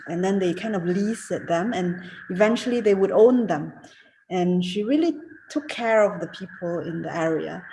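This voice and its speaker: Slow, halting woman from Singapore. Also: lowish voice, darkish tone, slight background noise, low-quality recording. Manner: hesitant, many fillers and restarts. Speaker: keynote speaker, alumna. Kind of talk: reunion speech and Q&A answers.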